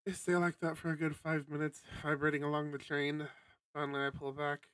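A man's voice: fairly high pitch, completely flat delivery, a little fast, a bit bright, dry recording, recorded from a distance. A man streaming a visual novel, reading its narration aloud in a dramatic storytelling style.

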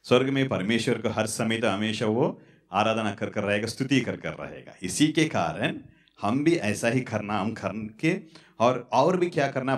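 A man in his thirties preaching a sermon on a church stage into a handheld mic, halting and repetitive. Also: fairly low pitch. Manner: halting, repetitive, fillers and restarts. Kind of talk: sermon with prayer. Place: church stage in front of curtains.

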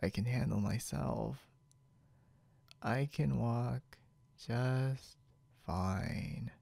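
A man playing a stubborn, boastful, confused drunk young man in an ASMR roleplay, halting and rambling with mumbled, repeated words. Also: mid-range voice, warm tone, no room sound, heavily acted. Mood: stubborn, tipsy. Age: young adult. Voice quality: gravelly, creaky.

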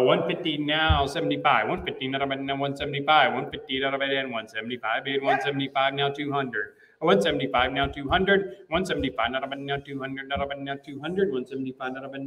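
American guy auctioneer, middle aged, repetitive bid chant, mid pitch, roomy sound. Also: fairly bright tone. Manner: repetitive rhythmic bid-calling with filler phrases. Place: large indoor auction room. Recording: roomy, picked up at a distance.

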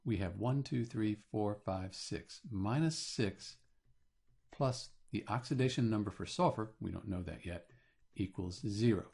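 A man speaks as a teacher narrating a tutorial. His voice sits on the low side and has a clearly husky, unpolished texture. He explains things plainly, one step after another. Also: measured pace, a little mellow. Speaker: chemistry teacher.

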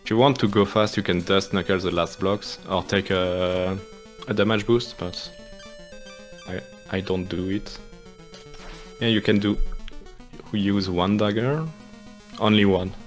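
A man's voice: deep voice, fairly even intonation, a little slow, pauses often, soft background music. A man from France with a French accent, explaining a game speedrun in hesitant live commentary full of restarts. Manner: hesitant, many restarts and fillers, apologetic.

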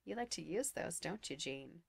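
A woman voicing a cruel, gloating male sorcerer in a slow, silky, menacing manner. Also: lowish voice, animated, vocal fry, husky, dry recording, full character voice.

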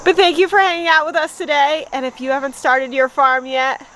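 Young woman farm vlogging on her phone outside, kinda high voice, chatty, bit of background hiss. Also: level tone, a little fast, a bit bright. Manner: chatty, light laughs, baby-talks to her dogs. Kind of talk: casual vlog narration. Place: outdoors on a farm. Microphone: phone at arm's length.

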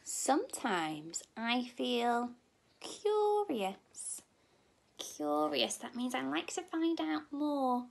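Young female nursery teacher for story time: gentle, expressive delivery to little ones, very unhurried, with noticeable vocal fry. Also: high voice. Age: young adult. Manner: expressive, gently addressing small children. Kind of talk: children's story reading. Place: indoor room at home.

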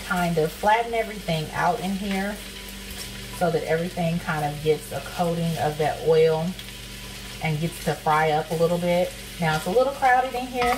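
Low-voiced chatty woman, lilt. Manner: chatty, folksy, calls viewers honey and sis. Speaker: cooking vlogger. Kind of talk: casual cooking demo. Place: home kitchen, indoors. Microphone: camera nearby, speaker off to the side. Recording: kitchen with frying noise. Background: sizzling pan and stirring.

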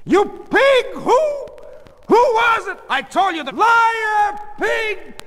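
A shrill, wounded middle-aged wife, far higher than ordinary speech. She is suspicious and accusing, swinging sharply in pitch, in a reverberant storybook recording. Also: huge pitch swings, slow, full character voice. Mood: furious, shrieking.

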